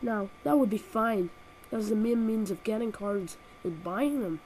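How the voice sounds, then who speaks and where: A woman's voice: medium pitch, measured pace, darkish tone, a little hiss or hum, rough recording. A woman with a cold and a nasal voice, reviewing a video game with sarcastic, exaggerated comic rants, recorded on a built-in laptop microphone. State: has a cold.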